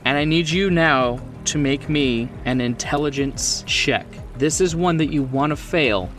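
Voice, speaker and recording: A man's voice: on the high side, a little slow, fairly bright tone. A man running a horror tabletop roleplaying game as game master, explaining rules and describing scenes off the cuff in a conversational way. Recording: distant mic, clear music behind. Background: music bed.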